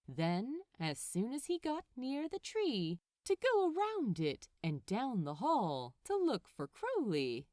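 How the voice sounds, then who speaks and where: A woman reading a story aloud as narrator, with expressive, deliberate phrasing. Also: medium pitch, no room sound, clean studio sound.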